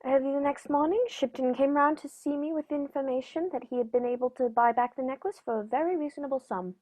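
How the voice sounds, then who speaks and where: A woman playing a courteous, shrewd gentleman detective in a formal, measured radio-play manner. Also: high voice, lightly acted, poor audio. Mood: composed. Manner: polite, measured, formal, knowing. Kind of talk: 1940s radio play acting.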